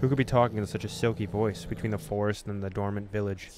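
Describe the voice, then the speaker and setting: Man, lowish voice, gaming host, expressive read-aloud of horror fiction, storyteller delivery. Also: fast talker, background noise. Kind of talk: dramatic read-aloud of a horror visual novel with casual asides.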